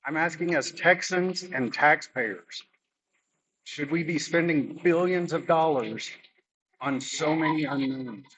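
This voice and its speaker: A man's voice: on the high side, slow, a bit bright. A man, a landowner, reading pointed, formal questions to utility staff at a public meeting. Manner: formal, pointed, reads prepared remarks. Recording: distant mic with faint noise.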